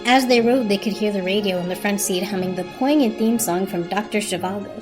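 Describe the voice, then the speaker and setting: A woman reading scripted story narration as a narrator, in a measured, literary storytelling manner. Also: medium pitch, over music.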